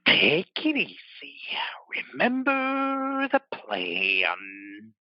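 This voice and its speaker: A man playing an excitable, loyal biker in an audio play, drawing words out and going from hushed to yelling. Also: a little high, dry recording, dull audio, exaggerated voice. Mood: tense, steadying. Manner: drawn-out, swinging from hushed to yelling. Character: excitable male biker, loyal, scrappy, scheming under pressure.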